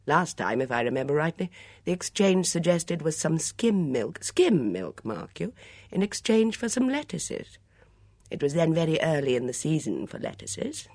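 Woman doing a glib, lively lovestruck young man. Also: deep voice, dry recording, clean studio sound, pitched above the performer's own voice, full character voice. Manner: flowery, pompous, mock-formal speech. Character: glib, teasing, wordy young man in love.

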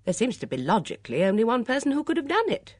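Storybook young man, acted by a woman: English, cocky, curious, argumentative, emphatic, pitched up. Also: huge pitch swings, fast, a little mellow, slightly rough, dry recording, studio quality, exaggerated voice. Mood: curious, triumphant. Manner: eager, triumphant, emphatic.

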